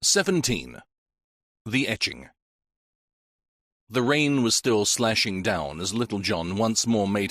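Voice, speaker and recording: A man's voice: on the low side, fairly bright tone, no room sound, studio quality. A man narrating a mystery audiobook.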